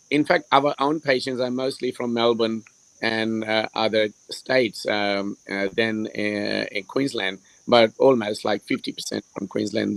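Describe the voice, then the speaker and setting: A hoarse man, a surgeon, speaking on a panel over a video call, hesitant and full of fillers. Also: medium pitch, no room sound, low-quality recording. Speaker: hair restoration surgeon, panelist. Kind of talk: live stream panel discussion.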